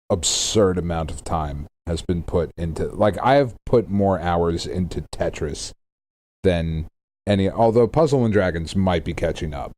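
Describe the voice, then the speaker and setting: A man's voice: sits low, darkish tone. A middle-aged American man co-hosting a podcast with casual, rambling, jokey banter and some swearing. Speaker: podcast co-host.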